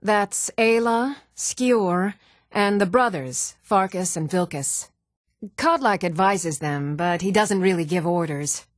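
A woman's voice: on the low side, slow, no room sound, clean studio sound. An actress playing an eager, proud young warrior woman in a fantasy video game, earnest and spirited.

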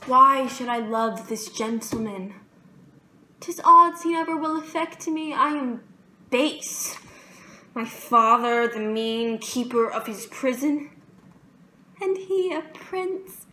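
Actress as a lovesick young maiden in Shakespeare, high bright voice, emphatic and theatrical, echoey room. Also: female voice, expressive, slow, full character voice. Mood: anguished longing. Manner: theatrical, emphatic, with dramatic swells. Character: lovesick young jailer's daughter, yearning and impulsive. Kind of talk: Shakespearean stage monologue. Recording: roomy echo with faint background noise.

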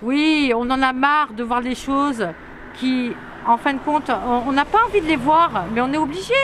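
A middle-aged woman speaks in a street interview, close to a handheld camera. She halts often and fills pauses as she talks. Traffic rumbles loudly behind her. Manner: hesitant, many filler pauses. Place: outdoors on a city street. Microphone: handheld camera held close.

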